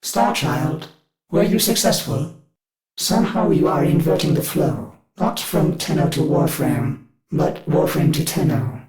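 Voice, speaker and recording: An aloof machine mind from a video game. The voice echoes and is slightly high, with lively intonation. The phrasing is formal and deliberate. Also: male voice, soft background music. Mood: clinical, probing. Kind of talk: scripted video game voice acting.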